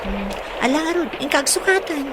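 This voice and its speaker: A woman's voice: high voice, sing-song, normal speed, background noise. An actress playing a spoiled, vain young girlfriend in a radio drama, sugary and pouty, coaxing with endearments in an Ilocano accent. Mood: sweetly demanding. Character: spoiled, vain young girlfriend who sweetly wheedles and demands.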